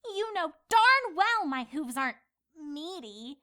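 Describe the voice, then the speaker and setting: A woman's voice: very high voice, very animated, slow pace, pushed and bright, exaggerated voice. A woman voicing an earnest, easily indignant young female cartoon unicorn in an insistent, bristling manner. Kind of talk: cartoon fan-fiction voice acting.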